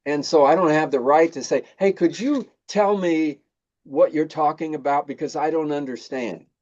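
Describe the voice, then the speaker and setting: A man's voice: a little high, moderate pace, a little mellow, dull audio. A man, a native English speaker, hosting a casual conversation. He talks thoughtfully and hesitantly, with many fillers when he switches to Korean. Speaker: host.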